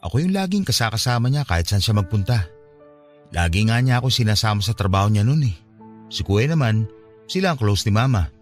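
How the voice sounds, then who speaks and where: A man's voice: lowish voice, big pitch swings, fairly quick, soft background music. An actor playing a hurt, defensive young man confiding in his ex in a radio drama. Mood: subdued, confiding.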